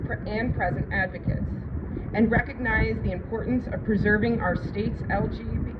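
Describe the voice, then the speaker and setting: Female civic speaker, mid-pitched, formal proclamation delivery; dull sound with clear traffic noise behind. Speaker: ceremony speaker reading a proclamation. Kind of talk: formal read-aloud proclamation speech. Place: outdoor public ceremony at a city hall. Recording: noisy outdoor public-address recording, muffled, traffic.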